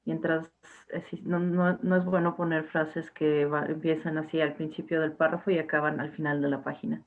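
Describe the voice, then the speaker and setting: A woman's voice: sits low, flat delivery, normal speed. Chatty young woman teacher on a tinny line. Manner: chatty, with restarts and fillers. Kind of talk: casual online class talk.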